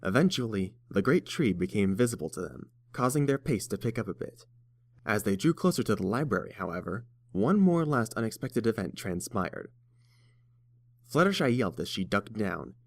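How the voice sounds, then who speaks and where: A man's voice: lowish voice, big pitch swings, normal speed, dry recording, clean studio sound. A man reading scripted story narration as the narrator.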